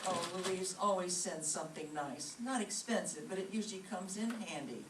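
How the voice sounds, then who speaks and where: A woman's voice: lowish voice, expressive, moderate pace, close to an everyday voice. A patient, reproachful wife played by a woman in an old-time radio comedy. She scolds dryly but fondly, heard at a distance in a roomy space with faint noise behind her. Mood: placating. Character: long-suffering, scolding yet affectionate wife.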